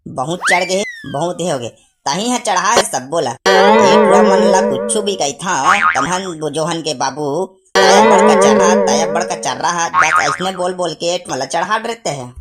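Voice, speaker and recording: A man's voice: high voice, expressive, measured pace, over loud music. A man playing a grumbling, nagging village father in a cartoon comedy, speaking with a Chhattisgarhi accent and making threats. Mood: exasperated. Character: grumbling, scolding village father of two boys.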